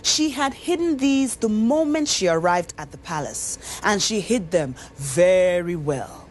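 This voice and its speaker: A woman with a Nigerian accent narrating a scripted folktale in an expressive, dramatic storyteller's manner. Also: fairly low pitch, unhurried, a little hiss or hum. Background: music.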